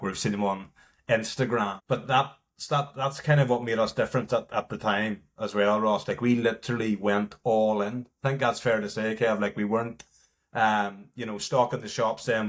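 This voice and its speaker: A man's voice: on the low side, flat delivery, a little fast. A man with a Northern Irish accent talking frankly and informally as a guest in a casual interview. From north coast of Northern Ireland. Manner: informal, frank, peppered with "you know" and "like". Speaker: company partner and marketing lead, podcast guest.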